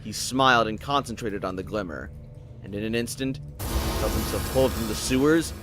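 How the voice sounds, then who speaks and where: A man's voice: on the low side, normal speed, over music. Male narrator telling a dramatic story.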